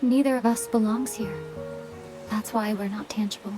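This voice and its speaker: An actress playing a composed young warrior princess in a video game, with a gentle, calm and wistful manner. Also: female voice, medium pitch, normal speed, over music, lightly acted. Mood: tender, regretful. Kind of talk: dramatic video game dialogue.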